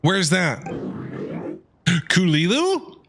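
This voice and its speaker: A man giving casual, playful live reactions as he streams a game, chatty and excitable. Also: sits high, extremely slow, background noise. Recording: game audio and clicks behind voice.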